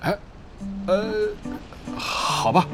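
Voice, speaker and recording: A man playing an earnest, harried guild leader in a Chinese audio drama, polite and often flustered. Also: very slow. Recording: music behind the voice.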